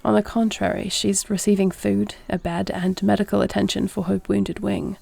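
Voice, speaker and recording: A woman playing a duty-bound warrior queen and tender wife in an intimate audio roleplay, speaking in a measured, earnest, formal way. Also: mid-range voice, level tone, gravelly, a little mellow, husky. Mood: grave, measured. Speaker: voice actor.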